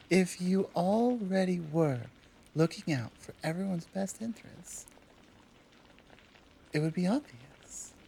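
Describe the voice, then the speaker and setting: A woman playing a gentle but guarded, protective Amazon warrior in an ASMR roleplay, soft-spoken and earnest, with hesitant pauses and gentle chiding. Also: fairly low pitch, creaky, slight background noise, rough recording. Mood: thoughtful, challenging. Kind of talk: ASMR roleplay, one side of a dialogue.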